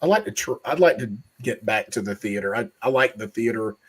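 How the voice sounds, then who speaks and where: A middle-aged man guesting on a podcast, slightly hoarse, speaking in an easygoing, halting way with fillers. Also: on the low side, lively intonation. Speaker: panel guest. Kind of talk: casual chat.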